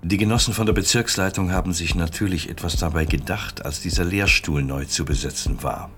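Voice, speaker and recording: A man playing a polite but menacing secret police officer in a radio drama, measured and insinuating. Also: low voice, a bit bright.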